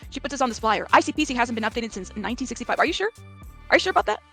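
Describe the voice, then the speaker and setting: A woman's voice: fairly high pitch, expressive, very fast, a bit bright, husky, faint music behind. A woman with an American accent giving informal, emphatic commentary as a host, bluntly explaining things to her audience with rhetorical questions and checks like okay and right.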